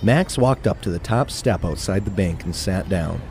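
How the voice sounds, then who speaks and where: A man's voice: low-pitched, animated, moderate pace, a little mellow, slight background noise. A man narrating a scripted adventure story in a theatrical storytelling manner.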